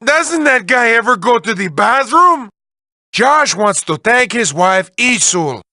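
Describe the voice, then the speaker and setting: A manic cartoon mad scientist, piercingly sharp and very high. He draws his words out slowly and theatrically. He is a whiny, lovelorn game villain who swings from cooing to wailing. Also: very animated, no room sound, clean studio sound, full character voice. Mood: sardonic. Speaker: video game villain reading developer messages. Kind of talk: exaggerated comic cartoon voice acting.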